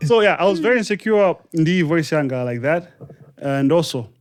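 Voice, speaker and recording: A Malawian man co-hosts a podcast in a chatty, self-deprecating way. His voice sits a little higher than most men's. He moves easily between English and a local language. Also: lively intonation, brisk. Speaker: podcast co-host. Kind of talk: casual podcast chat.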